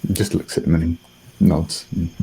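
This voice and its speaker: A British man improvising a story as a player in a tabletop roleplaying show, hesitant and halting. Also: low-pitched, flat delivery, vocal fry, mellow, husky, rough recording.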